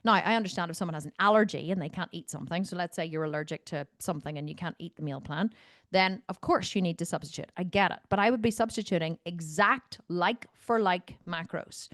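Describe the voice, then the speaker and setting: A woman's voice: fairly low pitch, fairly quick, a bit bright, no echo, studio quality. Emphatic, no-nonsense woman coach. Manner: emphatic, insistent, repeats phrases for stress; blunt, no-nonsense. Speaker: fitness coach. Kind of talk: coaching Q&A talk on a video call. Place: indoors, on a video call.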